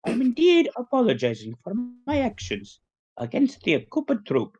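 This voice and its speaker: A man's voice: very high-pitched, huge pitch swings, normal speed, a little mellow, husky, dull audio, voice pushed up, heavily acted. A man voicing a cartoon Italian plumber who delivers a theatrical, solemn, sing-song mock apology. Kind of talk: mock YouTuber apology video improv.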